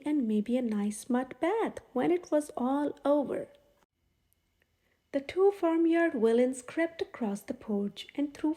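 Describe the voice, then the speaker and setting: A woman's voice: high-pitched, dark tone. A woman reading a children's storybook aloud as a scripted narrator.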